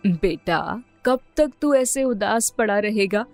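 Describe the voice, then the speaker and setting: A woman voicing a gentle, concerned middle-aged mother in an animated story, speaking softly. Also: medium pitch, slow pace, faint music behind. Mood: worried. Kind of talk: animated moral story dialogue.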